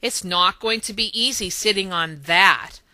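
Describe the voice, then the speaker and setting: Woman acting a female storybook hen, grumbly, obliging, indulgent, forceful, dry recording. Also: medium pitch, measured pace, no room sound.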